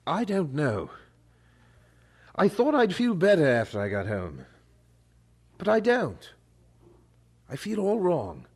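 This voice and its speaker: Husky, expressive troubled young son. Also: male voice, a little high, slow, long pauses, heavily acted. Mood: anguished, restless. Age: young adult. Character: troubled, restless young Englishman, son torn by conscience. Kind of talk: audio drama acting.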